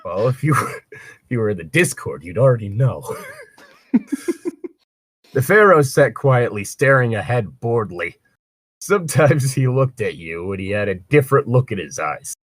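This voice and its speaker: A man's voice: mid-range voice, big pitch swings, normal speed, pauses often, no echo. A man chatting casually and reading aloud as co-host, breaking off for sardonic, sweary asides. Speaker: co-host of a fan fiction reading show. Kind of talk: casual banter and read-aloud with commentary.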